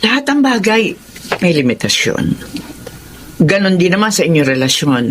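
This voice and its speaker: A woman's voice: lowish voice, very animated, normal speed. Kindly middle-aged mother, noisy track. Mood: cautioning. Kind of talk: Filipino radio drama acting.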